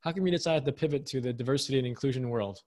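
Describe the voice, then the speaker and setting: A man's voice: medium pitch, a little mellow. Man hosting a podcast interview. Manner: conversational, quick follow-up questions. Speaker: podcast host.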